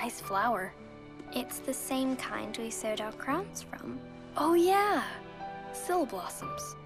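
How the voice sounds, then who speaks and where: Slow, gentle, high-voiced girl. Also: sing-song, music in the background, heavily acted. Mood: gentle, earnest. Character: gentle, earnest young female friend. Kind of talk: soft game cutscene dialogue.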